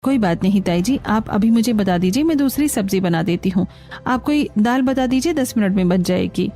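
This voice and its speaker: A woman's voice: mid-range voice, lively intonation, normal speed, dark tone, faint music behind, natural voice. A woman voicing an obliging, put-upon young daughter-in-law in a cartoon, speaking politely. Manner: polite, accommodating. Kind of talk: Hindi cartoon dialogue.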